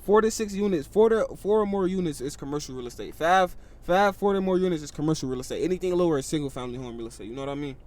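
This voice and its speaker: A man's voice: fairly high pitch, lively intonation, rapid-fire, few pauses, a little mellow. An eighteen-year-old man, talking animatedly in a casual podcast chat, tagging lines with "bro" and "you know what I mean".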